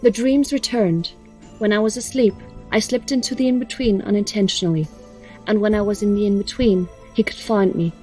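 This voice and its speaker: A woman plays a resolute young noblewoman who fights for her companions in an audio drama. Her mid-pitched voice is firm, guarded and serious, over faint music. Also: fairly even intonation, fairly quick, a little mellow, natural voice. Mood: solemn.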